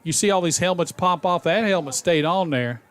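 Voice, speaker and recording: A man giving conversational, opinionated color commentary on a high school football radio broadcast. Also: fairly bright tone. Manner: conversational, opinionated, quick to react.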